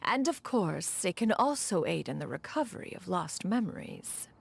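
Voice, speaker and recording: An actress playing a proud, self-assured immortal inventor in a video game, speaking in a formal, archaic manner. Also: female voice, on the low side, sing-song, moderate pace, vocal fry, husky, heavily acted. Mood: proud, explanatory. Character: dignified, proud immortal female adeptus inventor. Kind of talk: video game character acting.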